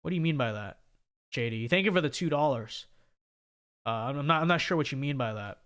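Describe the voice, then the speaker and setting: A man's voice: mid-range voice, lively intonation, fairly quick, fairly bright tone, clear, resonant tone. A young man hosting a livestream, giving opinionated commentary with many restarts and reading viewer super chats, his voice scratchy and sore. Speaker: livestream host.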